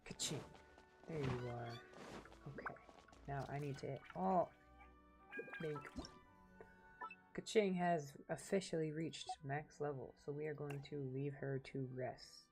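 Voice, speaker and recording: Low-pitched woman streaming, music behind. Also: a little slow, pauses often. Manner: muttering to self, playful. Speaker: game streamer. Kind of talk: casual live gameplay commentary. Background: game music.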